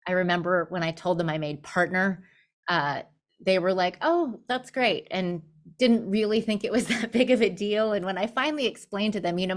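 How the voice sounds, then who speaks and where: A middle-aged woman from Southern California, a law firm leader, telling her life story candidly with light humor. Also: medium pitch. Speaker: guest, law firm managing partner. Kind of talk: personal storytelling in interview.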